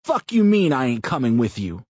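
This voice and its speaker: A man voicing a crude, loud, foul-mouthed man who jokes and mocks in an audiobook. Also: medium pitch, very animated, normal speed, no room sound, dull audio, voice pushed up. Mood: brash. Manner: brash, swearing, mocking.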